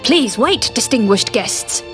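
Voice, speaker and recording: A woman's voice: a little high, animated, slow pace, faint music behind. A woman voicing a formal, polite royal palace guard in a video game. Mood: polite, urgent.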